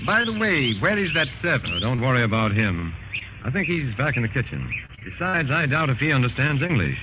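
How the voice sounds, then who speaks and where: Through a muffled, noisy old radio recording comes a man acting a worldly senior investigator. The middle-pitched voice is animated, knowing and skeptical, instructing in classic radio drama fashion. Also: a little fast, natural voice. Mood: cautious.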